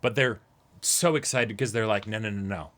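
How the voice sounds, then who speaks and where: Young man with a bright, forceful voice. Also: fairly low pitch, moderate pace. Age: young adult. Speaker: co-host of an anime reaction show. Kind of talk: casual chat. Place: small indoor room, seated before a backdrop.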